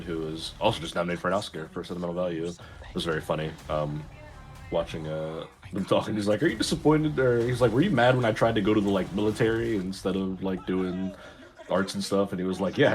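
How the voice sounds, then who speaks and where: A man co-hosting a pop culture show, chatting casually in a laid-back, dry way. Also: sits low. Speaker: co-host.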